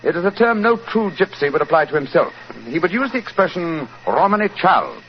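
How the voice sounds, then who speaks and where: An actor playing an assured, urbane English gentleman detective with a British accent, in 1940s radio drama style. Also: fairly high pitch, big pitch swings, brisk. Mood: assured, explaining. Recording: muffled, noisy 1940s radio recording.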